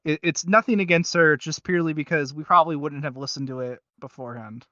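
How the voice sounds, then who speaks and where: A man with a fairly high-pitched voice talks as a podcast co-host. He rambles casually and haltingly through an unscripted review, leaning on filler words. The sound is dry, with very little room. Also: sharp tone, no room sound, dull audio. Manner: hesitant and rambling, with many filler likes.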